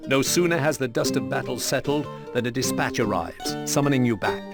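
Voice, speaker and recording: A man narrating a video game story in a measured, scripted storytelling manner. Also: medium pitch, expressive, loud music behind the voice.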